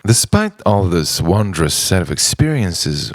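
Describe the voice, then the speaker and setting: An extremely low-voiced man speaks an introspective spoken-word piece, slowly and in halting phrases. His gravelly delivery moves widely in pitch and sometimes sinks toward a whisper, with dramatic pauses between thoughts. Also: very animated, no echo, clean studio sound. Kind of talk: introspective spoken-word monologue, poetic letter to himself.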